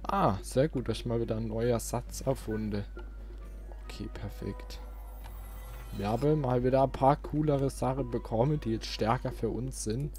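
A man's voice: medium pitch, moderate pace, a little mellow. A man giving casual let's play commentary as host of his channel. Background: game music.

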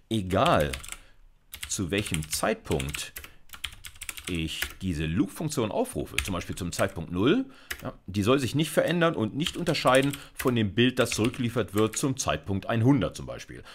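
A man in his fifties with a low voice that moves up and down in lively swings. He explains code step by step as a lecturer, informally thinking aloud. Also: slight background noise. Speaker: university computer science lecturer. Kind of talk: explanatory screencast lecture with live coding. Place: indoors, seated at a computer.

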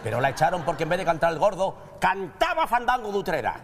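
A man's voice: sits high, big pitch swings, fast, creaky, some chatter behind, slight background noise. A man hosting a comedy news show, delivering scripted jokes in a TV studio. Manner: jokey, punchline delivery. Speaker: host.

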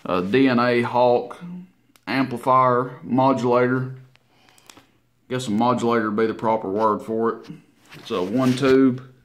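A man giving a casual, unhurried hands-on demonstration in a folksy, informal way. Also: mid-range voice, fairly even intonation. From Tennessee, United States. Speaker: CB radio hobbyist presenting his equipment. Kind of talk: casual hands-on demo narration. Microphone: handheld camera mic, operator behind it.

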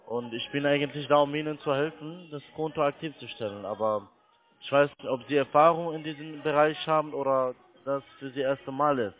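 A fairly high-voiced man, not a native German speaker, works a sales call over a thin phone line. He is insistent and blunt, talking like a call-centre agent. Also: steady tone, brisk. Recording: tinny narrowband phone line.

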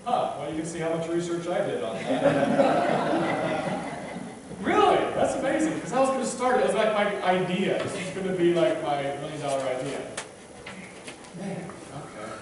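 A man's voice: high-pitched, lively intonation, moderate pace, long pauses, a loud crowd. A man in his thirties giving a casual sermon at a church pulpit, chatty and self-deprecating, stumbling over his words now and then. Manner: conversational, many restarts and fillers, self-deprecating. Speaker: preacher. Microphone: pulpit microphone, filmed from a distant camera. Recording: echoey church from far off.

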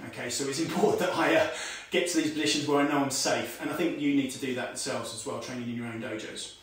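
Karate instructor in an echoey room. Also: male voice, mid-range voice, fast talker, distant mic. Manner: talks while demonstrating moves, calls out commands; many "okay"s and fillers, relaxed teaching tone. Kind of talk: online martial arts lesson. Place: home room with tiled floor. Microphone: far camera on a stand.

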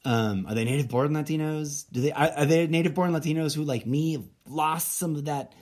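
A man who grew up speaking Spanish in Mexico, hosting a solo podcast and thinking out loud, rambling with lots of fillers and restarts. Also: fairly high pitch, fairly quick. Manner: rambling, self-interrupting, many fillers and restarts. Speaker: podcast host. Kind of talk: solo late-night musing podcast.

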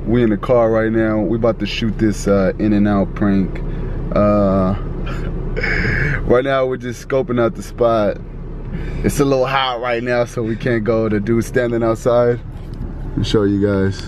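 A man's voice: on the low side, fairly even intonation, measured pace. A man in his twenties chatting casually to a camera held at arm's length, laid-back and laughing now and then. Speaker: vlogger. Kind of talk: casual vlog chat to camera. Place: in a car and outdoors by the sea. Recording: noisy car and wind background. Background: car and outdoor noise.

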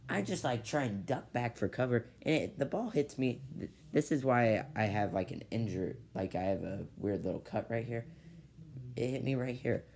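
A man's voice: on the low side, a little mellow, a little hiss or hum. A teenage boy telling a story casually to his camera in his bedroom, rambling and restarting with lots of "basically". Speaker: vlogger. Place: bedroom with ceiling fan, indoors. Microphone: device camera at low angle.

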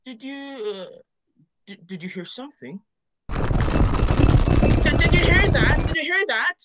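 A student playing an excitable, panicky schoolboy in a school radio drama, exclaiming and stammering. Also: high-pitched, slow pace, heavy background noise, full character voice. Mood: alarmed. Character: excitable, panicky schoolboy host caught in disasters.

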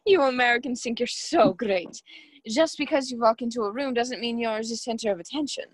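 A woman's voice: a little high, big pitch swings, moderate pace, slightly rough, no echo, rough recording. A woman acting a snide, dismissive wealthy hostess in a mystery radio play. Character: haughty, sharp-tongued wealthy young hostess.

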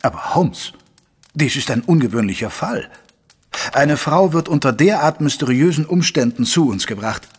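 An actor playing a caring gentleman physician who narrates a German audio drama in a measured, literary way. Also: a little high, animated, breathy edge. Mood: firm, protective.

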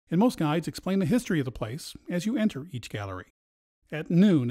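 Guy narrating a travel vid, kinda high voice that moves around a lot, guitar music playing behind. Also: a little mellow, no room sound, studio quality. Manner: informative, measured tour-guide delivery. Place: voice-over, not on location.

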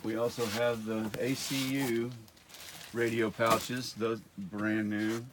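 A man's voice: lowish voice, little pitch movement, slow pace. A middle-aged man walking through a large warehouse, casually listing stock item by item, often repeating himself, recorded on a nearby phone camera. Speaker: warehouse tour guide. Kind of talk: walkthrough listing inventory. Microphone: handheld phone camera nearby. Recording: noisy warehouse phone video.

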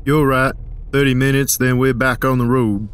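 A man's voice: medium pitch, steady tone, moderate pace, clear, resonant tone, lightly acted. A man in an audio drama playing a worried, stern father on a family road trip. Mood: stern worry. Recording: faint ambient noise.

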